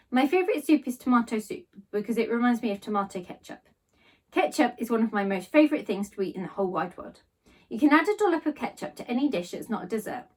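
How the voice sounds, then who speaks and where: A woman reading a children's story aloud in an expressive, animated storytelling style. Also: medium pitch, distant mic. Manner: animated read-aloud delivery. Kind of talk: expressive storybook narration in first person.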